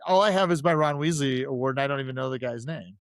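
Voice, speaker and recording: A man's voice: mid-range voice, quick pace, no echo. A man co-hosting a podcast, discussing film casually and circling back to qualify his points as he goes. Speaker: podcast host.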